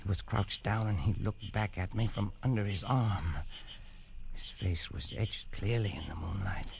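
An actor in a 1940s radio drama playing a defensive, shaken adventurer who speaks in a halting, dramatic way. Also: male voice, on the low side, creaky, a little mellow, slight background noise. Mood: shaken, horrified. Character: shaken, defensive male adventurer telling his tale. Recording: old radio broadcast with music.

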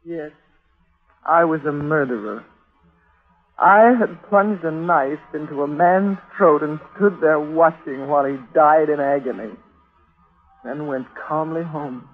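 An actress playing a haunted, anguished young bride driven to murder, faltering and dramatic in 1940s radio style. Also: female voice, sits low, takes it slowly. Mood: haunted confession. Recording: muffled old radio recording, music behind.

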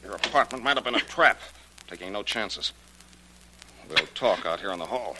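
An actor playing a shifty, nervous husband with a murderous streak in a 1940s radio drama. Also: male voice, lowish voice, animated, moderate pace, lightly acted. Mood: menacing. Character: nervous, shifty husband turned menacing wife killer. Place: radio studio. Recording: noisy, bright vintage radio recording.

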